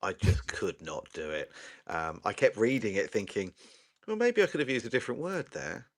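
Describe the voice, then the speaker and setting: A man's voice: on the low side, very animated, moderate pace, no room sound. A man hosting a livestream interview, polite and self-deprecating as he wraps up questions. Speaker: show host. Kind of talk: livestream conversation.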